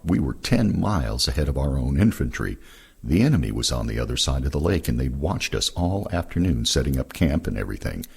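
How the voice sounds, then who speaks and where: A man's voice: a bass voice, gravelly, husky. A man reading first-person war memoirs aloud in a plain-spoken, folksy storytelling way. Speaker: reader of veterans' oral histories.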